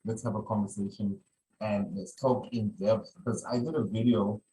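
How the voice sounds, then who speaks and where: A man's voice: fairly low pitch, steady tone, normal speed, dark tone, rough recording. A man hosting a podcast in casual conversation, rambling and often repeating his phrases. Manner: rambling, repeats phrases, many "you know". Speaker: podcast host.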